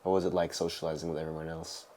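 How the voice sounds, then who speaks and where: A man's voice: extremely low pitch, level tone, rapid-fire, mellow. A man co-hosting a casual podcast, asking short, laid-back questions and reacting.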